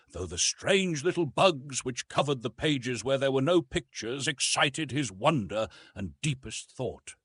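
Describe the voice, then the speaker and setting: A man narrating a literary audiobook in an expressive, measured storytelling manner with dramatic emphasis. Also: medium pitch, husky, dry recording.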